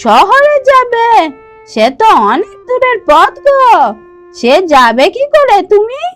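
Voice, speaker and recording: Woman acting as anxious village wife, very high voice, plaintive, caring, cartoon style, faint music behind. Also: very animated, takes it slowly, fairly bright tone, exaggerated voice.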